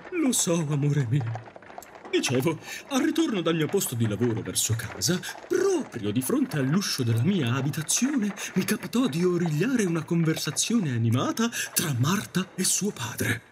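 A man plays a wistful, romantic Victorian gentleman ghost in an audio drama. He is a wordy, theatrical storyteller with a highish voice that swoops dramatically, over some background noise. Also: huge pitch swings, normal speed. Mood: dreamy, romantic.

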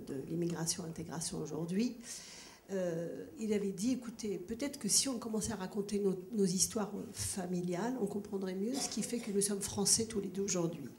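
A middle-aged woman takes the handheld mic at a formal public debate. Her voice is mid-pitched and mellow, and she argues earnestly, repeating phrases for weight. The large hall echoes around her, with noticeable background noise. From born in Kabylie, Algeria, grew up in France from age nine. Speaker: audience member questioning a speaker at a public debate. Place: large ornate hall with seated audience, indoors. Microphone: handheld microphone.

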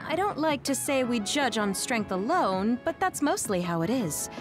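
A woman's voice: fairly high pitch, very animated, normal speed. A woman playing a genial, easygoing military squad commander in an anime game, breezy yet authoritative. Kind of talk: English anime-game voice acting. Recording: music behind the voice.

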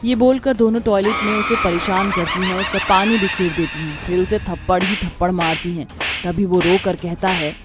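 A woman's voice: fairly low pitch, steady tone, moderate pace. A woman narrating a scripted cartoon horror story as its storyteller. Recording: music behind the voice.

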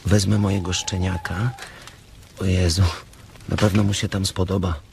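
A big simple childlike farmhand in radio play, low creaky voice, eager and pleading, noisy background. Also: male voice, little pitch movement, normal speed. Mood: childlike delight. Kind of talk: radio drama acting. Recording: noisy ambience behind the voice.

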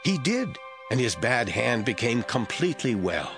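A man narrating a scripture audio drama from a script in a deliberate storytelling manner. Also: medium pitch, big pitch swings. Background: faint music.

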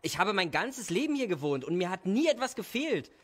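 A man's voice: high-pitched, sing-song, brisk. A man playing a young, proud and naive village hero in an audio drama. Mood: defensive, proud.

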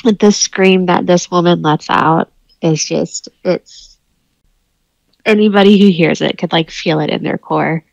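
A woman's voice: fairly low pitch, steady tone, unhurried, creaky, no echo, dull audio. A woman playing a tabletop roleplaying game, describing her character's actions in casual, improvised speech.

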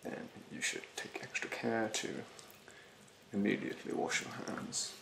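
A young man playing a rude, snobbish, fastidious facial therapist in a soft, half-whispered ASMR roleplay, haughtily polite and hesitant. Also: roomy sound, a little hiss or hum, lightly acted. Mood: fussy, instructive. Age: young adult. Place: bedroom, indoors. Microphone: close mic in front.

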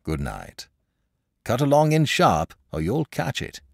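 Storybook gentleman, played by the reader, a man: clipped, kindly, firm, gravelly, dry sound. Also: medium pitch, wildly swooping pitch, dry recording, exaggerated voice. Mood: abrupt. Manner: brisk, clipped. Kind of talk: storybook character voice.

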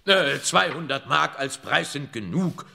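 An actor playing a haughty, impatient count in a children's radio play, pompous and curt. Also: male voice, on the high side, lively intonation, a little slow, creaky, bright, forceful tone, full character voice. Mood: dismissive, indignant.

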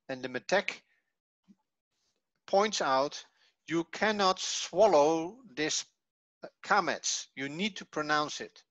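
A man giving an online language lesson, reading from a textbook and explaining it in a halting, explanatory way. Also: a little high, fairly bright tone, no room sound, dull audio. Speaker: Hebrew teacher. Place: online video call.

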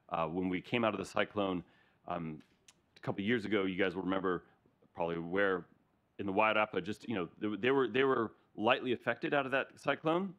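Brief: middle-aged American man, low voice, relaxed presenter speaking to a council chamber, tags points with "right?". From Arizona, USA. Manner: conversational, frequent "right?" tag questions. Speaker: regional emergency recovery manager. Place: council chamber, large meeting room.

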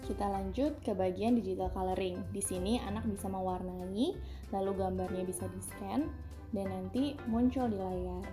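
Woman, mid-range pitch, casual vlog narration, noticeable background music. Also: normal speed. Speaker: family vlogger narrating. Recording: music behind the voice. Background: clear background music.

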